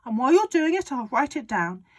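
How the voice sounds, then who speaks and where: A woman reading aloud and voicing an excitable, bossy father who shouts his big plans. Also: fairly quick. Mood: overexcited. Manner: shouting, overexcited, booming. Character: excitable bossy father, middle-aged man.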